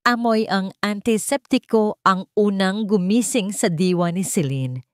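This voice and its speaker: A woman's voice: mid-range voice, unhurried, dry recording. A woman reading a scripted dramatic story as its narrator.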